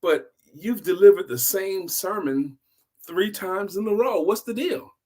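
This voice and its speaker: Man voicing a polite, higher-pitched church minister. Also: measured pace, a little mellow. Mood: polite, puzzled. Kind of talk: storyteller's acted dialogue.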